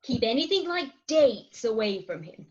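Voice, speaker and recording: A woman's voice: on the high side, big pitch swings, normal speed. A woman acting a proud, coaxing middle-aged wife in a comic Victorian farce, theatrical and emphatic, on slightly dull online call audio. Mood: earnest, coaxing. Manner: theatrical, emphatic, grandly articulate. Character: imperious, coaxing Victorian English wife, thirty-six, proud. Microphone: remote home recording over video call.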